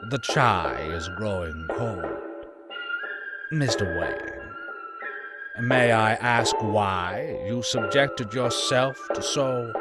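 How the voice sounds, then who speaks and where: A sinister, serene monk acted by a man speaks slowly and ceremoniously, his pitch swinging dramatically. It is motion comic villainy over loud music. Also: wildly swooping pitch, heavily acted. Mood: calm, courteous. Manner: formal, measured, ceremonious. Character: serene, menacing male monk who serves a dark master.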